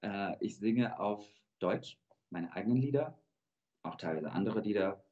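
Low-voiced Georgian-born man on a video call. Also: animated, dark tone. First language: Russian. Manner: thoughtful, measured explanations. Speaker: guest singer. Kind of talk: interview over video call. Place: indoor room with plain wall. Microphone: video call webcam. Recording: dull lo-fi video call audio.

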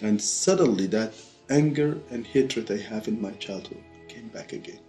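Low-voiced middle-aged Sudanese man over music. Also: dark tone. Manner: deliberate, short phrases with pauses. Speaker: testimony speaker. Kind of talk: personal testimony told to camera. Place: quiet furnished room with fireplace and bookshelves. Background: background music.

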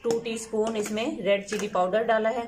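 A woman's voice: a little high, steady tone, normal speed, darkish tone, a little hiss or hum. A middle-aged woman hosting a cooking channel, explaining each step of a home recipe patiently. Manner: patient, instructive, homely. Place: home kitchen.

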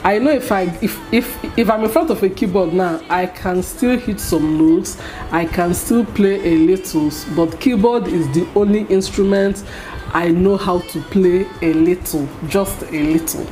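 An expressive woman with a Nigerian accent, first language Igbo, speaks straight into a close camera in a small room at home. She talks in a lively, scolding way and gestures a lot as she answers viewer-style questions. Soft music plays faintly behind her. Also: on the low side, a little slow. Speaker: vlogger. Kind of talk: get-to-know-me tag vlog. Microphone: camera at close range.

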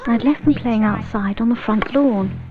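A woman's voice: fairly high pitch, normal speed, close to an everyday voice. A woman playing a regretful mother, recounting her daughter's accident in a safety-film voice-over. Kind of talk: acted first-person voice-over in a safety film. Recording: dull old film sound over music.

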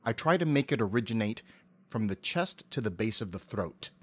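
A middle-aged man giving articulate, measured explanations as a voice actor being interviewed. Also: fairly low pitch, very animated, fairly bright tone, husky.

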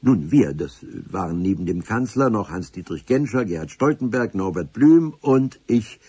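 Very husky, solemn official narrator. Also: male voice, on the low side, animated, dark tone, no echo. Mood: solemn, wry. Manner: formal, wordy, dramatic pauses. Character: pedantic, solemn senior civil servant recounting adventures. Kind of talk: scripted radio play narration.

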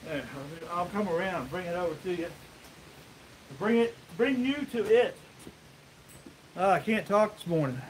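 A man's voice: high voice, expressive, unhurried, pauses often. A man in his fifties chatting casually and unhurriedly to viewers as he works in an open outdoor sawmill shed, explaining as he goes. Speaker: host, sawmill woodworker. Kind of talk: casual live vlog while working. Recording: outdoor workshop noise, varying distance from mic.

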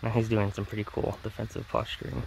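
Low, gravelly man narrating outdoors. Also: monotone, quick pace, darkish tone, poor audio. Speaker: wildlife vlogger. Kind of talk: casual nature field narration behind the camera. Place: outdoors in woods and grassland. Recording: outdoor ambient noise.

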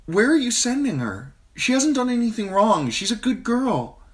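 A nervous teenage noble girl, polite and eager to please, her voice climbing high as she pleads while a man acts her out. She sounds breathless and worried throughout, far above the narrator's own deep register. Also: very animated, normal speed. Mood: upset, pleading.

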